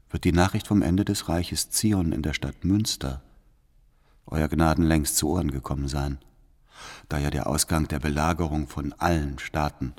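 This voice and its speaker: An actor playing a cold, servile spy, reading out his formal, measured report to his master in a historical radio drama. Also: rumbling low, a little mellow, slightly rough, exaggerated voice.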